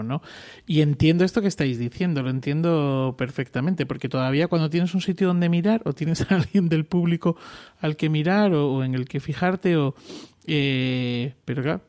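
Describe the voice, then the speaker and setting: A man's voice: medium pitch, darkish tone, dry recording, studio quality. A man hosting a panel, reflective and affirming. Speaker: host. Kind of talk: casual panel conversation.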